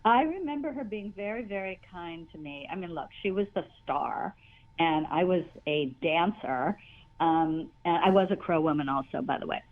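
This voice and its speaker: An older woman with a naturally high voice talks casually as an interview guest. She rambles, restarts her sentences and laughs often. The audio is a bit dull and lo-fi. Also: expressive, moderate pace.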